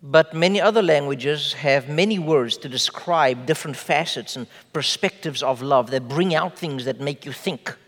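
A man whose first language is Afrikaans, preaching a sermon in measured, emphatic phrases with rhetorical questions. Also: fairly high pitch, fairly bright tone, big-room sound. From South Africa. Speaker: pastor.

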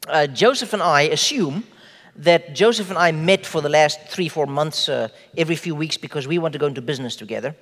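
Man, Afrikaans first language, pastor, sermon, emphatic rhetorical questions, slightly high voice, reverberant hall. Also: fairly bright tone. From South Africa. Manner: measured preaching with slow emphatic phrases and rhetorical questions.

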